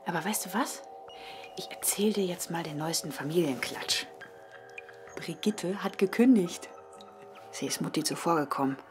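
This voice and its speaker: A woman's voice: lowish voice, normal speed, long pauses, music in the background, lightly acted. An actress playing a young German woman who whispers tender stories to her comatose lover in a radio drama. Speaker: radio play character.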